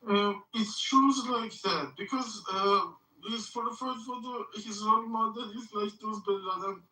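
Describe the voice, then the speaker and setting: A man from Iran defending himself in a heated online voice chat, halting and formal with frequent hesitations. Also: high voice, steady tone, sharp tone. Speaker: voice chat participant. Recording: echoey, from far off the mic.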